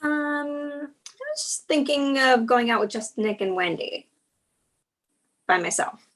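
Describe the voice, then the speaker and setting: Young woman, loyal and placating girlfriend played by an actress, slightly high voice, comedy table read. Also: lively intonation, unhurried, a bit bright.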